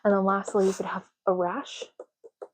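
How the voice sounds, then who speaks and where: A soft-spoken woman doing an ASMR roleplay as a clerk, explaining gently and unhurriedly in short, broken phrases. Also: medium pitch, level tone, normal speed, dry recording. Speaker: ASMR creator playing an ID card clerk.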